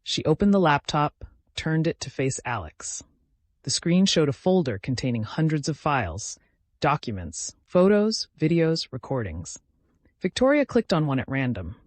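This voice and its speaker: A man narrates a dramatic story in a scripted audiobook style. His voice sits a little higher than average for a man, and the sound is very dry and close, free of room echo. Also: big pitch swings, normal speed.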